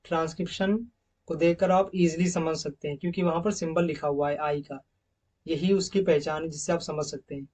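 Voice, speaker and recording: Man, English phonetics teacher, slide lesson, repeats example words loudly. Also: a little high, steady tone. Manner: repeats each example word slowly and loudly.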